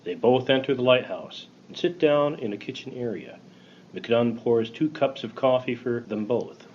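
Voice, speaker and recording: A man's voice: medium pitch, normal speed, lo-fi sound. A man reading scripted narration and scene directions for a radio play. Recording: loud rain and background noise.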